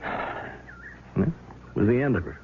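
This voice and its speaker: An actor playing a scheming, bitter husband who has turned blackmailer, sardonic and falsely easygoing, in 1970s radio drama style. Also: male voice, slow pace, natural voice. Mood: tense, recounting. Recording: narrowband, noisy old radio broadcast recording.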